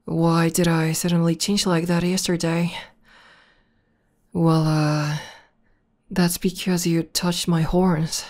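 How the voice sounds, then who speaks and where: A woman playing a tough tomboy demon girlfriend, shy, tender and devoted, in an intimate ASMR roleplay with a soft, hesitant delivery. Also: deep voice. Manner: soft, hesitant, bashful, breathy dips into whisper.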